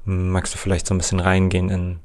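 A man's voice: deep voice, monotone, quick pace, darkish tone. A man hosting a podcast interview, friendly and careful, choosing his words as he asks questions. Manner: friendly, careful, searching phrasing. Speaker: podcast host.

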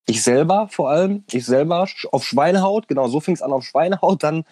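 A man's voice: on the high side, lively intonation, a little fast, dark tone, breathy edge, no room sound. A man in his late twenties, a tattoo artist chatting casually on a voice call, rambling with many fillers and laughing now and then. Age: 20s. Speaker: tattoo artist, podcast guest. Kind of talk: casual chat over a voice call.

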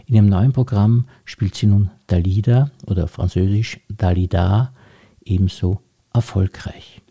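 A man's voice: lowish voice, steady tone, measured pace, warm tone, breathy edge, highs cut off. A man, hosting and interviewing on a podcast. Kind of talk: introduction and conversational interview.

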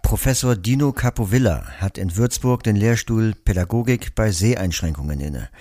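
A man's voice: on the low side, normal speed, dark tone, no room sound, studio quality. A man moderating a seminar, introducing the next speaker in a brief, formal way.

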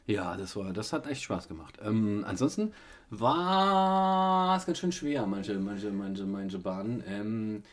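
A man's voice: lowish voice, slow. A man with a cold, hosting a casual family podcast and retelling a day out step by step. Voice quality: husky. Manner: relaxed, many fillers, retells events step by step. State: has a cold. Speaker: podcast host with his child.

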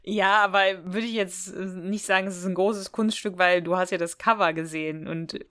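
A woman's voice: on the low side, fairly even intonation, normal speed, a bit bright. A woman co-hosting a podcast, casually discussing an audio drama and often giggling as she talks. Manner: giggly, often laughing through sentences. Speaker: podcast co-host.

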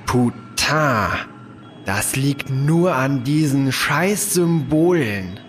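An actor playing an exasperated, sarcastic fugitive who swears and mutters, in a sci-fi audio drama. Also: male voice, medium pitch, animated, drawn-out words, faint music behind. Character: harried, cursing male fugitive who peppers in French.

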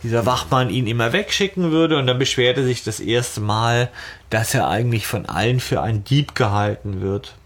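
A man with a mid-pitched, slightly husky voice talks as a podcast host. He retells and discusses a story in casual, colloquial language, as in a relaxed chat. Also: normal speed.

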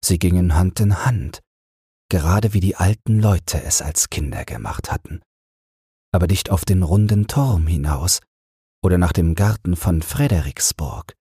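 A man gently narrating a bedtime fairy tale in a soothing, measured way, close to the microphone. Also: low-pitched, expressive, breathy edge, no echo. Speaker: audiobook narrator.

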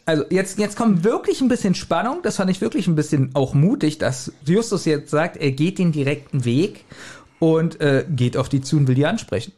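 Higher-voiced German man chatting on a podcast. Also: lively intonation, moderate pace, darkish tone. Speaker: podcast co-host. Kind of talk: casual podcast chat, retelling and reviewing an audio drama.

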